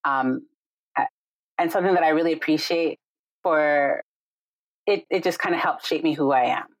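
Low-voiced woman on a call. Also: normal speed, no echo, poor audio. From Jamaica. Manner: warm, reflective, frequent "right?" and "like". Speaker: guest, yoga and meditation teacher. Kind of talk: casual conversation on a video call. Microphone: video call.

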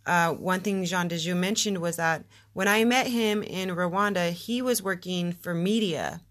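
A woman's voice: lowish voice, a little slow. An American woman hosting her podcast, chatty, upbeat and playful, laughing easily. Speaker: podcast host. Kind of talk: casual podcast chat.

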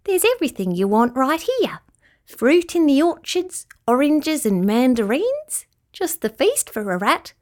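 A woman's voice: sits high, sing-song, measured pace, pitched above the performer's own voice. A woman voicing a proud, opinionated rabbit in a children's storybook, haughty and emphatic but good-natured.

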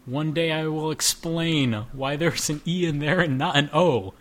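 A man's voice: fairly high pitch, measured pace, fairly bright tone, a little hiss or hum. Playful young man hosting a podcast. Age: 20s. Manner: joking, rambling, playful. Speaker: podcast host. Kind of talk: casual group chat.

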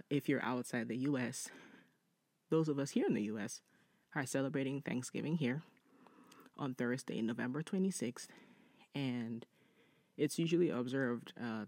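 Male podcast host, creaky voice, chatty and conversational, dry close sound. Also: mid-range voice, darkish tone, dry recording. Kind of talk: casual chatty hosting, reading out listener stories.

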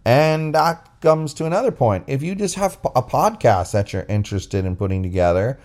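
A man's voice: mid-range voice, expressive, normal speed, darkish tone. A man co-hosting a casual, unscripted podcast, explaining things in a rambling way into a phone. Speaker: podcast co-host. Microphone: recorded into a phone app.

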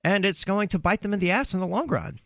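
A man's voice: high-pitched, quick pace, gravelly, a bit bright, no room sound, studio quality. A man hosting a call-in talk radio show, blunt and opinionated with a sarcastic edge.

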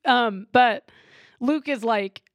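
A woman's voice: on the high side, slow, dry recording. A woman co-hosting a podcast, sick and losing her voice, chatting casually about a movie. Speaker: podcast co-host.

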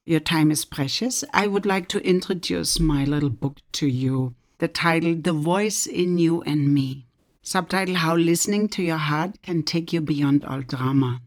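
A middle-aged woman from Germany speaks about her own life with earnest feeling. Her voice sits low and has a gravelly, frayed edge. At tender moments she sinks into soft whispers. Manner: earnest, drops to soft whispers when recounting inner moments. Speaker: self-published author. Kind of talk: personal testimony.

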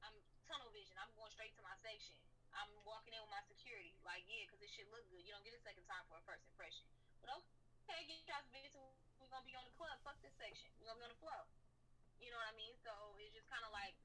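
Fast-talking woman with a Southern tinge. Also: a little high, bright, forceful tone, far from the mic, slight background noise, poor audio. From San Francisco Bay Area. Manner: blunt, profane street slang. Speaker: rapper, podcast guest. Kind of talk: casual podcast conversation.

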